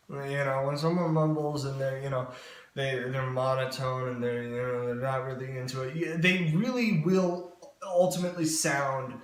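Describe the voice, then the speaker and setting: A young man vlogging voice advice in a casual, very expressive way, with many fillers. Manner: very expressive, swings in pitch and loudness, many fillers. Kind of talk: casual advice monologue to camera. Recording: echoey room.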